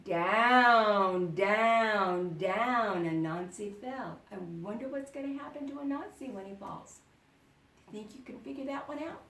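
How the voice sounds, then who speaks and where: A woman teacher reading a story aloud to children with dramatic expression, pausing to explain words and ask questions. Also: medium pitch, fairly bright tone. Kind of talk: animated children's read-aloud with questions to listeners. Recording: roomy echo, distant microphone.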